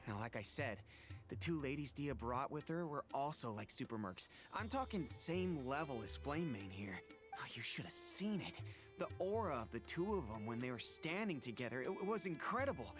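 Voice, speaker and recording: A man's voice: medium pitch, moderate pace, music in the background, close to an everyday voice. A man playing a joking mercenary buddy. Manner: loose, joking, excitable. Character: jovial, teasing male mercenary brigade member. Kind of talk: video game voice acting.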